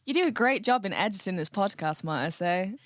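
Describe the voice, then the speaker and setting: A woman hosts a comic podcast promo in a dry, close recording. Her mid-pitched voice is highly animated, and she prompts in a playfully bossy way. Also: a little fast, no room sound. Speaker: podcast host. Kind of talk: scripted comic promo banter.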